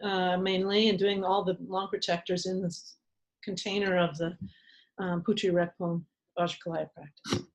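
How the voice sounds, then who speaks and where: A woman's voice: on the low side, level tone, normal speed, poor audio. An older woman leading a casual workshop from her home shrine room over a webcam, chatty and full of ums and restarts. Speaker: meditation teacher leading a workshop. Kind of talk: casual online workshop talk.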